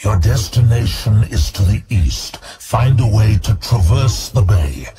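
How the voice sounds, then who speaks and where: A man's voice: fairly low pitch, expressive, unhurried, heavy background noise. A man voicing a cold, imperious demon lord who gives clipped orders in a fantasy audio story. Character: commanding demon lord speaking inside a mind, male.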